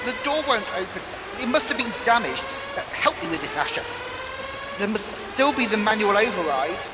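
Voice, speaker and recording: A man's voice: sits high, big pitch swings, moderate pace, very noisy. A man playing a stern, self-preserving leader in an audio drama, with a commanding, indignant manner. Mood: tense.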